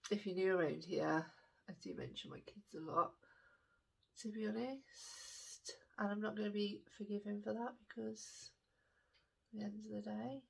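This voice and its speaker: A woman's voice: lowish voice, vocal fry, slightly rough. A woman in her thirties, chatting frankly and at a rambling pace while doing her makeup for a vlog. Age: 30s. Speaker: makeup vlogger.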